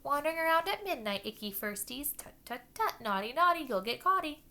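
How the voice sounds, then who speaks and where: A woman's voice: high voice, very animated, fairly quick, pushed and bright, pitched above the performer's own voice, full character voice. A woman voicing a mischievous male poltergeist who taunts in a cackling sing-song. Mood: taunting.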